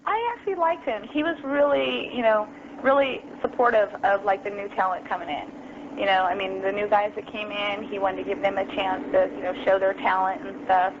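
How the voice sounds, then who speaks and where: A woman's voice: mid-range voice, normal speed. A young adult woman telling her story as an interview guest over the phone, casual and full of ums and you-knows. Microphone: phone call. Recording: lo-fi phone line, noisy.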